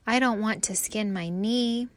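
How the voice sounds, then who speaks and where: A woman's voice: medium pitch, measured pace, close to an everyday voice. A woman voicing a small, anxious male autumn leaf in a storybook, plaintive and fretful. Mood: worried.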